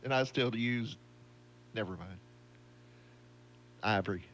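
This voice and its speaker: A man co-hosting a morning radio show, chiming in with quick remarks and laughing along. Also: fairly low pitch, fairly bright tone, a little hiss or hum. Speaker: radio co-host. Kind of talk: casual radio chat. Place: radio studio.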